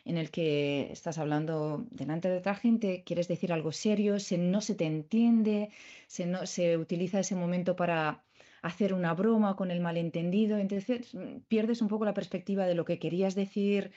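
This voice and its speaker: Reflective woman with a low, mellow voice. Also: moderate pace, highs cut off. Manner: reflective, searching for words. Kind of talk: conversational interview.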